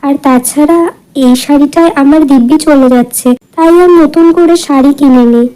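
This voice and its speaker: A woman voicing a humble, patient village wife in a cartoon tale, gentle and plain-spoken. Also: high-pitched, normal speed, lightly acted, poor audio. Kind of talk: cartoon fairy-tale dialogue. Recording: music under the voice.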